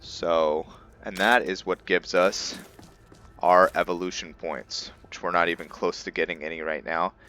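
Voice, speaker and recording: Low, slow-paced man with a bright voice. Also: fairly even intonation, a little hiss or hum. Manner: thinking aloud in short bursts. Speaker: let's player. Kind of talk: casual gameplay commentary.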